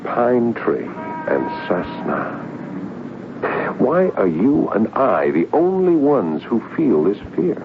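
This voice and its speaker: An actor playing a troubled, down-to-earth secret agent in a radio drama, talking in a halting, conversational way. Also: male voice, medium pitch, wildly swooping pitch, over music, exaggerated voice. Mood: bewildered. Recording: muffled, hissy old radio broadcast.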